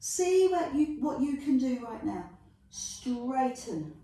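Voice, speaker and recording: A woman's voice: high-pitched, big pitch swings, slow pace, warm tone. A middle-aged woman with a London accent leading a Pilates workout, counting reps aloud, cheering the viewer on and making jokey asides. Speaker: Pilates instructor. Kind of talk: guided workout instruction. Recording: echoey room, voice from a distance.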